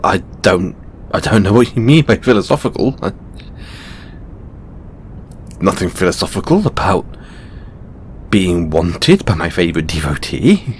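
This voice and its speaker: Over a rumbly, noisy background comes an affectionate, stressed god acted by a man talking to a beloved devotee. The voice sits low and swings expressively, with slow, halting phrasing. It is close, playful boyfriend roleplay. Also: big pitch swings, exaggerated voice. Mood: defensive, flustered. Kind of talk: intimate audio roleplay acting.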